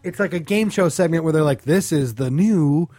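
A man's voice: fairly high pitch, moderate pace, dark tone, no echo. A man co-hosting a comedic radio talk show, ranting with profane sarcasm and mocking imitations.

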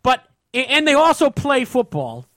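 A man's voice: extremely high pitch, huge pitch swings, measured pace, fairly bright tone, no room sound, clean studio sound. An American man on a casual sports talk show, ranting in an exasperated, emphatic way and repeating himself. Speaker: podcast host.

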